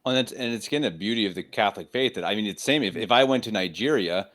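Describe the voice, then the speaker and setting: A man's voice: fairly low pitch, expressive, fast talker, a bit bright. A young man hosts a podcast, speaking into a handheld microphone in a room at home. He sounds keen and eager, and repeats words when he gets carried away. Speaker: podcast host. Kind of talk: casual interview conversation. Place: home room with bookshelves, indoors.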